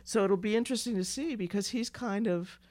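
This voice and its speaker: A woman with a slightly hoarse voice that sounds like it is fading, talking in a loose, rambling way. She stops and restarts as she hunts for names and words. Her sound is dry and close, with no room around it. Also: medium pitch, brisk, a little mellow, dry recording. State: losing her voice. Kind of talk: casual political chat.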